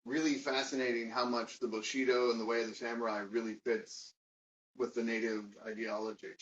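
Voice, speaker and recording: A man's voice: medium pitch, level tone, fairly bright tone. A man in his forties, a dating coach, chatting casually and often restarting his words. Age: 40s. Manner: halting, stammering restarts. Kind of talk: casual conversation. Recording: dull, distant mic, light noise.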